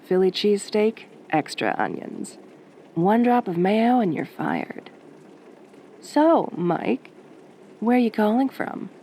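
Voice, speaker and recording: A woman playing a sultry, teasing late-night radio DJ in a soft-spoken roleplay, with a velvety voice and a flirty, wry drawl. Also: medium pitch, lively intonation, takes it slowly, a little hiss or hum, rough recording.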